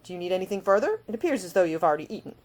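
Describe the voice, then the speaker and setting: A woman plays a roguish gentleman of leisure in an audiobook. He is suave and cheerfully teasing, with formal phrasing and an amused drawl. The intonation swings in a lively way. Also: fairly low pitch, rapid-fire. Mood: cheery, courteous. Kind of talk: audiobook character dialogue.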